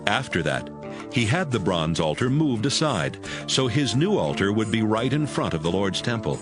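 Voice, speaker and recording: A man with a fairly low voice narrates scripture in a dramatized audio Bible. His intonation is lively, and music plays underneath. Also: normal speed.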